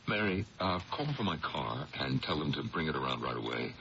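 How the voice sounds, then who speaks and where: A man's voice: extremely low pitch, expressive, a little fast, gravelly, bright, forceful tone, full character voice. A man playing a troubled, guarded district attorney in a 1970s radio drama, educated and hesitant under pressure. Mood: weary. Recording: muffled 1970s radio recording, faint hiss.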